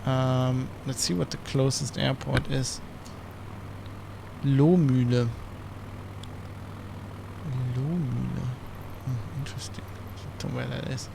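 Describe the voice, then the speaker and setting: Gravelly man rambling on stream. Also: mid-range voice, fairly even intonation, slow pace, long pauses, noisy background. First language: German. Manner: rambling, with many restarts and self-corrections. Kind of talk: casual flight-sim stream commentary.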